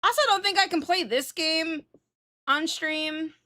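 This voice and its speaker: A woman's voice: very high-pitched, a little slow, pushed and bright. A woman livestreamer chatting casually with viewers in a slangy, sweary, self-teasing and exclaiming way. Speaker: live streamer.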